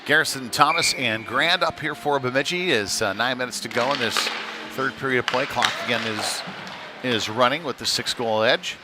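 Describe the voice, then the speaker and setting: A man doing live hockey play-by-play in a loose, conversational way, with hesitant pauses mid-call. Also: mid-range voice, music in the background. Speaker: play-by-play commentator. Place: ice rink. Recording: noisy live rink ambience.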